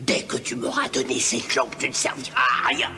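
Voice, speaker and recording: A man playing a scheming, impatient villain in an animated film, theatrical, sliding between wheedling and menace. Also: medium pitch, brisk, reverberant, in a large hall, faint music behind, exaggerated voice. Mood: menacing. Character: scheming, impatient male villain posing as an uncle.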